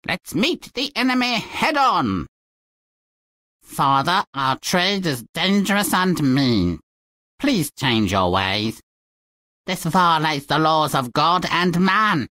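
A woman's voice: low-pitched, sing-song, takes it slowly, fairly bright tone, dry recording, full character voice. A woman voicing a tiny, put-upon fairy-tale hero in a video game, droll and complaining. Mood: pleading, earnest. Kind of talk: video game character acting.

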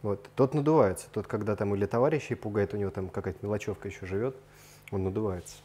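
A man's voice: fairly low pitch, fast, dark tone. A man hosting a casual video tour in informal, slangy, enthusiastic speech, indoors. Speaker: host of an aquarium video series. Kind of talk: casual vlog tour chat. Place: indoors, room with large aquariums. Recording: noticeable background noise.

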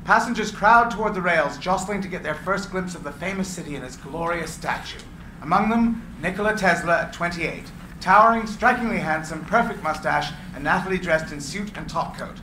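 A man reading the stage directions aloud at a live script reading, evenly and clearly. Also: high-pitched, animated, normal speed. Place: small room with seated audience. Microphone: far camera. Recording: echoey room with faint hiss.

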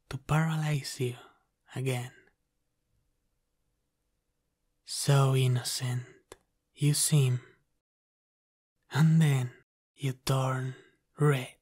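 A man's voice: medium pitch, a little mellow. A man playing a dominant, teasing demon lover in an intimate ASMR roleplay, coaxing in a commanding, seductive whisper. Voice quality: breathy whisper.

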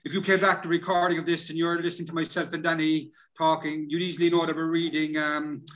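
A man's voice: fairly high pitch, little pitch movement, fast talker, a bit bright, muffled recording. A middle-aged man with an Irish accent presents a workshop informally and colloquially over a video call, repeating himself. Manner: colloquial, repetitive, addresses listeners as lads. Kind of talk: informal presentation, partly read from slides.

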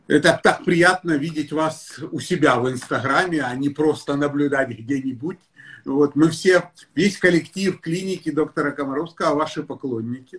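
A man in his sixties, a pediatrician hosting a live stream from his home study, talking in a warm, effusive, enthusiastic way. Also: fairly high pitch. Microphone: webcam or phone on a video call.

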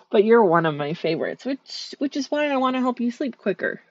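A woman voicing a sweet, shy female dream eater spirit in a soft, gentle, soothing ASMR sleep-aid roleplay. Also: a little high, very animated, normal speed, no echo, lo-fi sound.

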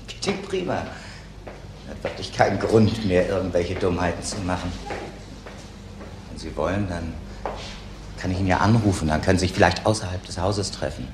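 Shopkeeper and protective brother: lowish voice, plain-spoken, chatty, crime drama, slight hiss. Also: male voice. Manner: chatty, colloquial, frank. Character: protective, frank male shopkeeper, a convict's brother. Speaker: actor. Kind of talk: German TV crime drama acting.

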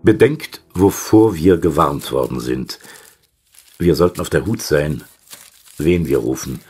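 Deep-voiced sinister old occultist. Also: male voice, animated, a little mellow, breathy edge, exaggerated voice. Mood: grave warning. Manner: formal, archaic, deliberate diction. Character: sinister centuries-old male occultist dictating a secret letter. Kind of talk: dramatic audio drama monologue. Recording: music behind the voice.

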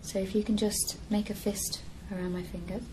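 A woman's voice: medium pitch, level tone, brisk. A young woman giving step-by-step instructions as a clinician examining a patient. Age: young adult. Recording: noisy office room recording.

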